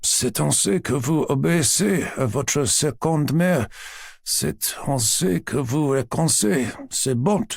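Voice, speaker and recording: A man voicing a severe French tutor in a storybook, speaking in a quiet, cold, formal way. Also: medium pitch, very animated, a little fast, hardly any pauses, slightly rough, no room sound, studio quality. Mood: cold severity.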